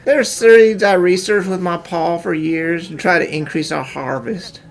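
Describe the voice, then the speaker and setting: A man's voice: sits high, steady tone, unhurried, soft background music. A man playing a meek, defeated farmer with folksy rural phrasing and a resigned manner in a fan-dubbed cartoon. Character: meek, defeated young male farmer, self-declared coward. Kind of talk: fan-dub cartoon acting.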